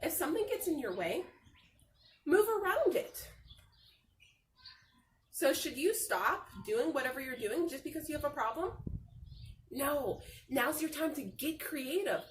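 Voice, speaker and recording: A woman reading a picture book aloud like a teacher, talking to young children in a simple, encouraging, expressive way with drawn-out phrases. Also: high voice. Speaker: read-aloud storyteller and teacher. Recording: roomy echo, distant mic, faint noise.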